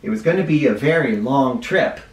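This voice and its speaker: A teacher reads a storybook to children with a lively, rising and falling voice. He pauses to explain words along the way. The sound is echoey and picked up from a little distance. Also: mid-range voice. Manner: expressive, stops to explain words to kids. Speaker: first grade teacher reading aloud. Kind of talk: children's read-aloud with asides. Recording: roomy echo, mic at a distance.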